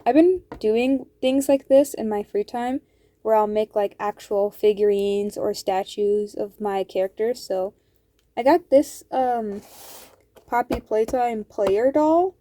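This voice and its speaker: A woman art vlogger with a slightly raspy, hoarse voice, chatting casually in a voice-over and rambling with lots of "like" fillers. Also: a little high, takes it slowly, warm tone. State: throat hoarse from cold air.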